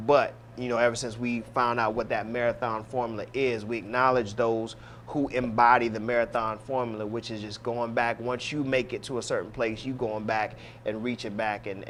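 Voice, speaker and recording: A man hosting a radio show in casual talk, loose and full of "you know" fillers as he gives shout-outs. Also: medium pitch, a little hiss or hum. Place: radio studio.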